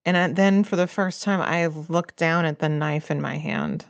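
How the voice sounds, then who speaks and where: A woman's voice: sits low, normal speed, gravelly, dry recording. A woman playing a tabletop roleplaying game, narrating her character's actions. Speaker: player in an audio roleplaying show.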